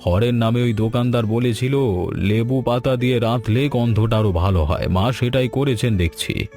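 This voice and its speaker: A man's voice: medium pitch, moderate pace, darkish tone, faint music behind, natural voice. A man voicing a cheerful, appreciative family man in a cartoon story. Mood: pleased. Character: cheerful, appreciative family man visiting his village home. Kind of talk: cartoon story dialogue in Bengali.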